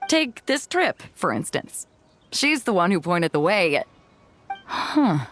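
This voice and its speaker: An easygoing, proud woman captain, talking with swinging, expressive pitch. She sounds hearty and sure of herself in a conversational way, over light background music. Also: slow pace, heavily acted. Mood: amused, confident. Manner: breezy, confident, conversational with chuckles. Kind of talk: video game voice acting. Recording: music bed under the voice.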